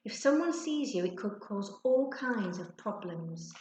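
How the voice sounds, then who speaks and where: A woman's voice: mid-range voice, animated, unhurried, darkish tone, a little hiss or hum, close to an everyday voice. A woman voicing a young schoolboy, exasperated, pleading and bossy, in a children's storybook reading. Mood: pleading, protesting. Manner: emphatic, insistent delivery. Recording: roomy, echoey sound.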